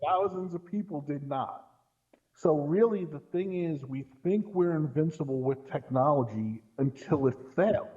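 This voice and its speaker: A man's voice: fairly high pitch, a little slow, mellow, highs cut off. A man chatting casually as a podcast guest, telling anecdotes and checking in with his listener as he goes. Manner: storytelling with rhetorical check-ins. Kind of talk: casual anecdotal chat about films.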